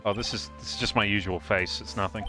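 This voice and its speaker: A man's voice: on the low side, fairly even intonation, fast, music in the background, natural voice. A man voicing an earnest but awkward, wryly crude defense lawyer in a comedic game fan dub. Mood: awkward, joking. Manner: hesitant, jokey, theatrical.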